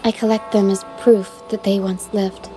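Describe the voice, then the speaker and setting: An actress voicing a gentle, solemn young woman guide in a video game, speaking in a measured, earnest way. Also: mid-range voice, little pitch movement, lightly acted. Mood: solemn, reflective. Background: music.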